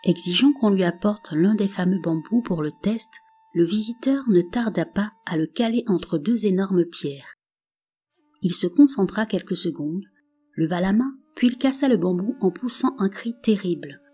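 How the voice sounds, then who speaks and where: A woman narrating a folk tale in a calm, measured storybook reading with soft phrase endings. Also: medium pitch, breathy edge. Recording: narration over faint background music.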